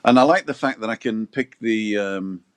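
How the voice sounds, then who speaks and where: Low-voiced man chatting on a livestream. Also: moderate pace. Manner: chatty, jokey, reads out viewer comments. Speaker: livestream co-host. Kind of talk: casual livestream chat. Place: balcony, outdoors.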